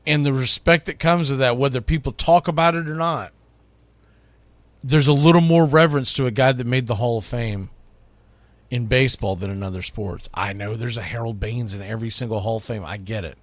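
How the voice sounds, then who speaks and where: A man's voice: mid-range voice, fairly quick, no echo. A man hosting sports radio, talking informally and emphatically through an opinionated countdown in a conversational way. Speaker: sports radio host, baseball play-by-play announcer.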